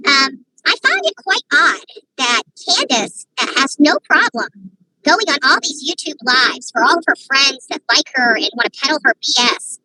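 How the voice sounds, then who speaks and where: Woman livestreamer with a bright, sharp tone, rambling and indignant, talking to her viewers through a phone. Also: sits high, low-quality recording. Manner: opinionated, rambling, rising to indignant rhetorical questions. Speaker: online commentator. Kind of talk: livestream commentary.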